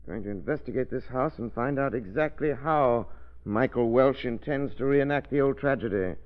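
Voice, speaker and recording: A man playing a calm, shrewd gentleman detective in a 1930s radio drama, speaking in a measured, deliberate way. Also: mid-range voice, lightly acted. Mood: suspicious. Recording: dull old radio broadcast.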